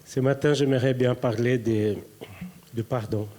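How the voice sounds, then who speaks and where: A Brazilian man preaching in a language that is not his first, speaking in short phrases and pausing for an interpreter. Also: medium pitch, steady tone, mellow. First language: Portuguese. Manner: playful, reads tongue twisters aloud. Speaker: guest preacher. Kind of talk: sermon.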